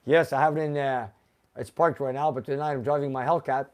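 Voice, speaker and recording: A man's voice: mid-range voice, fairly even intonation, brisk. A man hosting a casual live car show, reading out viewer names and comments in a chatty way.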